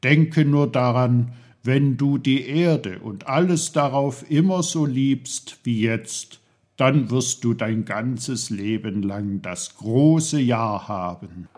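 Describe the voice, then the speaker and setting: Man as a husky, slow, kindly little magical uncle. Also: mid-range voice, darkish tone. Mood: reassuring. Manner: emphatic, drawn-out, avuncular instructing. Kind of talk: German children's storybook character voice.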